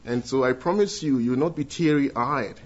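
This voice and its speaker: Ghanaian man, middle-aged, earnest church testimony, muffled recording. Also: medium pitch. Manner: earnest, with filled pauses and restarts. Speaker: guest speaker giving testimony.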